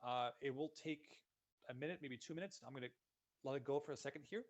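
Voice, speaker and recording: A man, a solutions consultant giving a relaxed, conversational walkthrough of software in a live webinar. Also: medium pitch, quick pace. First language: Dutch. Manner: relaxed, conversational walkthrough narrating each click.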